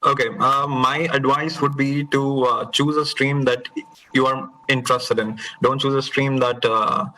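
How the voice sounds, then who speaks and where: A young man from India on a panel, measured and a little hesitant. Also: mid-range voice, flat delivery, pushed and bright, poor audio. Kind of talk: casual panel talk.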